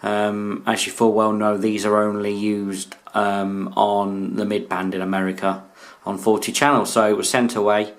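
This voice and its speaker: A British man casually presenting a hobby video in a hesitant, halting way. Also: fairly low pitch. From United Kingdom. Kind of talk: casual product showcase narration. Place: indoors in a room.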